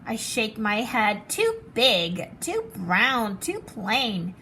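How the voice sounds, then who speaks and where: Woman playing an excitable, fancy little girl, high-voiced. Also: drawn-out words, slight background noise, heavily acted. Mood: eager. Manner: dramatic, showy, stressing fancy words. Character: excitable, fancy little girl narrating her puppy wish.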